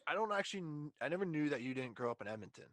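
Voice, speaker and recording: A man's voice: a little high, sing-song, rapid-fire, a bit bright, dry recording. A man in his twenties hosting a casual podcast chat, talking in slangy, profane bro-talk. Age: 20s. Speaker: podcast host.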